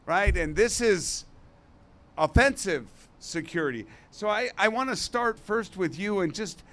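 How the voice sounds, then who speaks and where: A man's voice: high-pitched, animated, unhurried, a bit bright. A man moderating a conference panel, upbeat and informal as he sets up the discussion. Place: conference hall stage.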